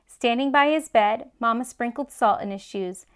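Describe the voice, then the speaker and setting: Lady with a high voice reading a kids book out loud, expressive. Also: normal speed, smooth. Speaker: children's read-aloud host. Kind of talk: expressive storybook read-aloud narration.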